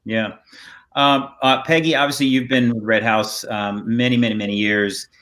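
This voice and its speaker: A man hosting a casual interview over a video call, halting and rambling as he repeats words. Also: medium pitch, steady tone, fairly bright tone. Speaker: host and theater director. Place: indoor room on a video call.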